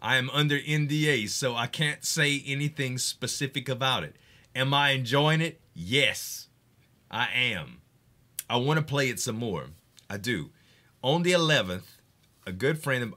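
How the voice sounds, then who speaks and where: A man chatting casually on a live game stream, excitable and swearing, hyping games and laughing often. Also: mid-range voice, moderate pace.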